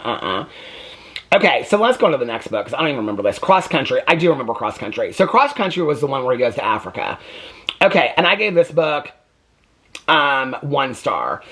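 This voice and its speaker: A man chatting casually about books he has read, reading synopses aloud and breaking off with wry complaints and asides. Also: on the high side. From United States. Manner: animated, chatty, self-interrupting, wry complaints. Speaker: book reviewer.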